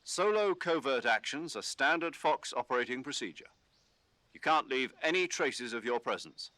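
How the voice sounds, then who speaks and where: A man voicing a calm commanding officer who gives instructions over the radio in a game. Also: mid-range voice, no room sound. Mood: steady. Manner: measured, instructive briefing tone. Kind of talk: game dialogue.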